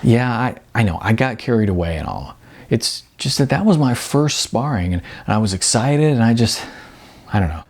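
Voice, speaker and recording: A man voicing a laid-back, apologetic young movie star in an audiobook, speaking in a halting, casual, earnest way. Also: mid-range voice, lively intonation, darkish tone.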